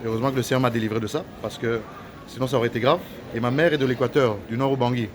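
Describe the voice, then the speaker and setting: A man's voice: mid-range voice, fairly even intonation, racing, noisy background. A man whose first language is Lingala, speaking in a heated, preachy and accusatory rant with many hesitations and repeated phrases.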